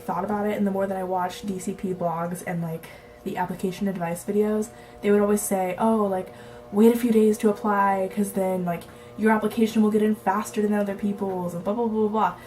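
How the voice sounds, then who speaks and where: A woman's voice: medium pitch, steady tone, a little fast, mellow, faint music behind, low-quality recording. A young woman vlogging in a casual, chatty way, laughing often and a little stuffy from a cold. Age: young adult. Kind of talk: casual chat to camera.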